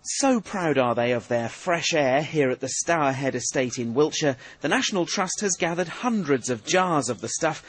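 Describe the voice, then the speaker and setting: A man giving a news report voice-over in a calm, even way. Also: fairly high pitch, moderate pace, hardly any pauses, slightly rough.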